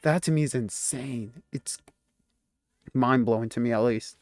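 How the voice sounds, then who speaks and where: A young man in his twenties rambles casually into a close, dry mic. He often stops himself mid-sentence and chuckles at his own remarks. His pitch sits in an ordinary middle range. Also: measured pace, darkish tone, no echo. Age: 20s. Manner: rambling, self-interrupting, laughs at himself. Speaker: YouTuber. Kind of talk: casual reflective vlog talk.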